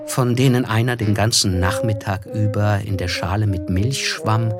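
A man playing a reserved writer who narrates a literary radio play in a measured, wry, observant way. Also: on the low side, steady tone, natural voice. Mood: wry, descriptive. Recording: music bed behind the voice.

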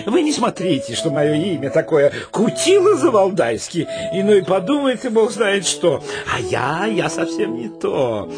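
An actor playing a prim, bashful suitor in a comic radio play, hesitant, stammering and deferential, over loud music. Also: male voice, sits high, heavily acted.

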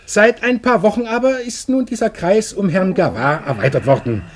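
An actor playing a talkative middle-aged police official in a German radio play, speechifying and explaining patiently. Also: male voice, high voice, lively intonation, normal speed. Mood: solemn, convivial.

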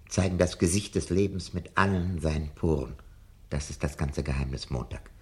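An actor playing a fearful, sardonic old professor in a German radio drama, speaking in eloquent, theatrical declamation. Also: male voice, very deep voice, full character voice. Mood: solemn, resigned. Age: older.

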